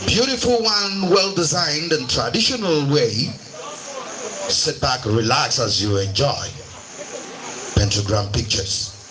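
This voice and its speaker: A man's voice: a little high, expressive, takes it slowly, pauses often, a crowd in the background. A man with a Nigerian Igbo accent hosting a live stage event as master of ceremonies, switching between Igbo and English in showy announcer phrasing. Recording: noisy live event over a microphone.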